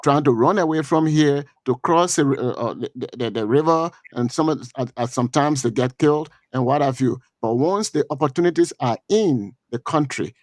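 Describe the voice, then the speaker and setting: A middle-aged Liberian man with a Liberian accent giving political commentary on a talk show, halting and repeating words with many fillers. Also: medium pitch, no echo, studio quality.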